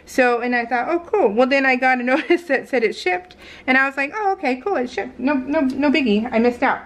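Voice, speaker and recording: An American woman chatting casually as a craft vlogger, telling stories in a rambling, animated way and acting out what people said. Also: sits high, a little fast. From California, United States. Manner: rambling, animated retelling with acted-out quotes. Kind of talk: casual chat while crafting, voiced in real time.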